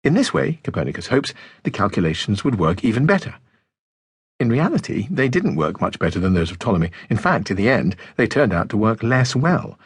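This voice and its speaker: A man narrating a nonfiction audiobook in a measured, deliberate way, with emphatic pauses between phrases. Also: lowish voice, big pitch swings, breathy edge, no room sound, studio quality. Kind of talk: scripted audiobook narration of popular science.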